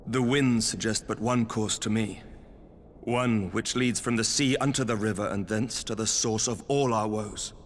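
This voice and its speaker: An actor playing a stern noble leader in a video game, speaking in an archaic, courtly, oratorical way. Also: male voice, on the low side, fairly even intonation, measured pace, natural voice. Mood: stern resolve. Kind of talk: video game cutscene acting. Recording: long hall reverb, faint background noise.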